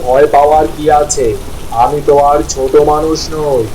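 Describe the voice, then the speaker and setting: পুরুষকণ্ঠে ক্লান্ত গরিব চাষি বাবা, পেছনে বৃষ্টি. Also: male voice, fairly high pitch, flat delivery, normal speed, dark tone, far from the mic, natural voice, low-quality recording. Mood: resigned. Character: weary poor farmer, gentle village father. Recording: storm and rain noise behind voice.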